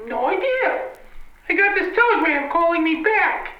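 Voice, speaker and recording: A woman's voice: extremely high pitch, expressive, moderate pace, muffled recording. A woman playing a playful lady in an old-time radio comedy, with roomy, noisy sound.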